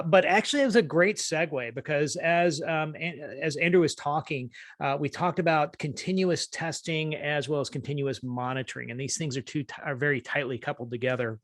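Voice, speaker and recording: A man with a Southern US drawl talks quickly and casually through a business webinar. His voice sits at an ordinary pitch and comes across close and dry, with no room sound around it. He keeps it chatty and a little self-deprecating. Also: no room sound. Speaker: solutions architect presenting a webinar.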